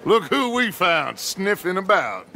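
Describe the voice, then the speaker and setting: A commanding Wild West gang leader in a game. The voice is forceful and fairly high, delivered with lively, oratorical sweep and dry authority. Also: male voice, unhurried, gravelly, slight background noise, exaggerated voice. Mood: dry, intent. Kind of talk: dramatic western video game acting.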